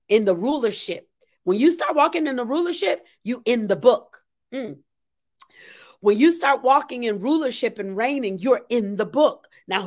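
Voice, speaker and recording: A woman with a high-pitched voice preaches an impassioned sermon from a small room at home. She exhorts her listeners emphatically, repeating phrases to drive each point in.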